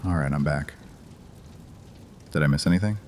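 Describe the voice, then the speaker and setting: A man's voice: a bass voice, normal speed, a little mellow, slight background noise. An actor playing a wry, unruffled male vampire clan leader with dry, sardonic banter in an audio drama. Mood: businesslike.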